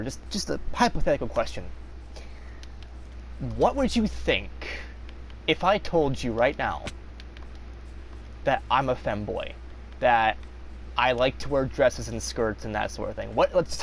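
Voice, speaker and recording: A young man talks in a slow, unhurried, rambling way, full of fillers and restarts, with a slight rasp in his voice. His pitch sits in an ordinary middle range. Faint noise hums under the recording. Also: low-quality recording. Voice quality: slightly raspy when talking long. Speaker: vlogger. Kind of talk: casual confessional monologue.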